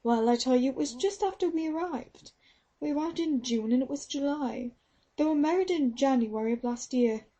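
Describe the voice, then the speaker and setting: A woman's voice: high voice, moderate pace, warm tone, voice pushed up, lightly acted. A woman reading an audiobook, voicing a stern, taciturn Australian nurse who is fiercely protective of her mistress. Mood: bitter, protective. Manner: indignant, protective. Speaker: audiobook reader.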